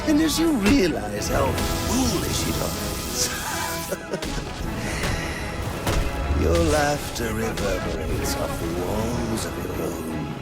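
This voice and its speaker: Manic, wildly swooping clown villain. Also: male voice, high voice, heavily acted. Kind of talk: video game cutscene acting.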